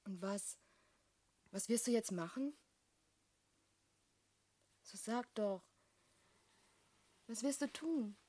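An actress playing an anxious, devoted woman in a German radio drama, husky and breathy, earnest and intimate. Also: on the high side, expressive, slow, long pauses, warm tone. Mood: anxious, urgent. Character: anxious devoted woman, gentle, sharing his despair.

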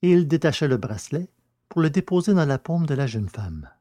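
A man narrating a scripted adventure story in a measured, literary way. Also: a little high, very animated, mellow, no echo.

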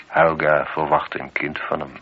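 An actor playing a shrewd, methodical chief police inspector in a radio play, speaking in a measured, probing and politely insistent way. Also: male voice, very deep voice, little pitch movement, husky, exaggerated voice. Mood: measured, accusing. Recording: dull, noisy old radio play recording.

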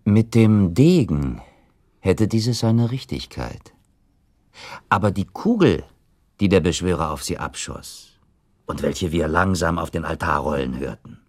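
A man playing an attentive gentleman who questions and objects in a German radio play. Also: low voice, expressive, a little slow, dry recording, studio quality. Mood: doubtful, objecting. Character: attentive gentleman listener questioning a prince's theory.

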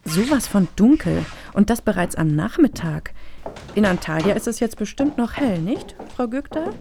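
An actress playing a self-important activist in a satirical radio play, pointed and sarcastic. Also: female voice, mid-range voice, sing-song, normal speed, gravelly, background noise. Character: snippy, self-important female activist applicant.

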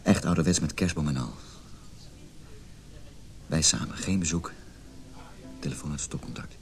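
Deep-voiced, evasive married lover. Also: male voice, normal speed, faint music behind. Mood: soft, coaxing. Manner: defensive, placating, emotional. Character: evasive, conflicted married lover, placating his mistress. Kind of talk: Dutch radio play acting.

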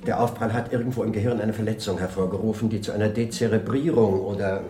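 A man's voice: lowish voice, fairly even intonation, fast, lightly acted. Radio play physician: man as clinical, grave, formal, roomy sound with guitar music. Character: formal hospital doctor delivering grave news. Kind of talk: radio drama acting. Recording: echoey room with music.

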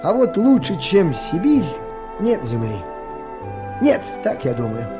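A man's voice: sits high, wildly swooping pitch, a little slow, loud music behind the voice, full character voice. An actor playing a folksy, proud Siberian timber-rafter in a radio play, musing in colloquial speech like a fatherly teacher. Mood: musing, admiring.

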